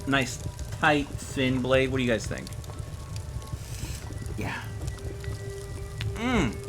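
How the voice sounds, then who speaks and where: A man's voice: mid-range voice, very animated, extremely slow, over music. A man giving casual live gameplay commentary as a streamer, thinking aloud in short, sometimes repeated phrases. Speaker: video game streamer.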